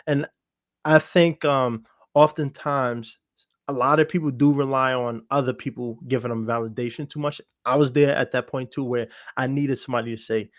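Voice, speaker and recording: A man with a medium-pitched voice talks candidly as a podcast guest. He sounds self-assured and reflective, wandering a little as he tells his own story. The sound is dry, with little room echo. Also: steady tone, normal speed. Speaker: podcast guest, actor and entertainer. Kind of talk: candid casual conversation, telling their own story. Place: online video call for a livestream podcast.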